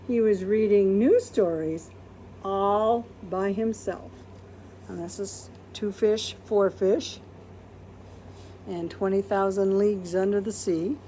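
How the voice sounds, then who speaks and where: Lady reading a kids picture book, really animated and unhurried, bit of noise behind her. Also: medium pitch. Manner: expressive, acts out quoted words, asks listeners questions. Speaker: storybook reader. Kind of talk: read-aloud of a children's picture book.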